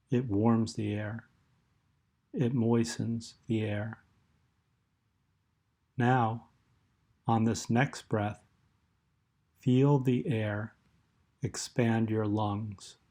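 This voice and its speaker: A man leading a guided meditation in a soothing, measured way, leaving long pauses as he speaks. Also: on the low side, warm tone.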